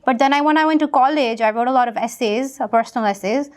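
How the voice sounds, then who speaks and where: A woman's voice: high voice, fast. A young woman from Pakistan, a guest author, talking casually in an interview with many fillers and restarts.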